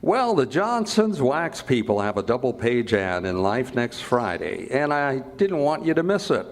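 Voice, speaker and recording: A man acting a chummy, eager announcer who pitches for the sponsor in an old-time radio comedy, with a breezy manner. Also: very animated, normal speed.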